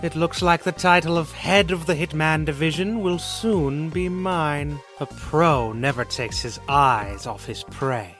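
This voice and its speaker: A man voices a smug assassin villain for a game dub. He is self-satisfied and ambitious, drawing his words out slowly in a lightly raised pitch, with music behind. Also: a bit bright. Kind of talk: animated game fandub acting.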